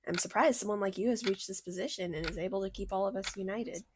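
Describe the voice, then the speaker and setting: A woman voicing a bubbly, caring female cartoon character in a radio play, chatty, motherly and expressive. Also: medium pitch, quick pace, background noise, close to an everyday voice. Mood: warm, encouraging. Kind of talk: fictional radio theater acting.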